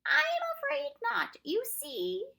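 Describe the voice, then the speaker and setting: A woman acts out a tall, officious male courtier creature from a storybook. The voice is pitched high and bright, with big swings. He talks in a snappish, pompous, emphatic way and is easily exasperated. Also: very animated, slow pace, creaky, heavily acted. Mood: brisk, firm.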